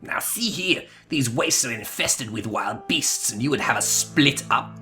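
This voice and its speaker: A man's voice: medium pitch, lively intonation, a little slow, sharp tone, soft background music. Man doing a pompous inquisitor, dramatic swings. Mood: disapproving. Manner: pompous, haughty, archaic diction. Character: pompous, zealous, suspicious male inquisitor. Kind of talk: melodramatic video game dialogue reading.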